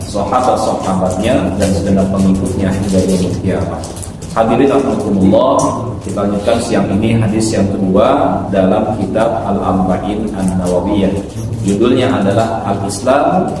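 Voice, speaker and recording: A man's voice: fairly low pitch, warm tone. A man teaches religion as an Islamic preacher. He explains in a didactic way, punctuating his points with little tags. The sound is heavily echoing and picked up from a distance, with slight noise. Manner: didactic, with frequent "ya" tags and check questions. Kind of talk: religious lecture explaining hadith to a congregation. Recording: very echoey hall, distant mic, faint noise.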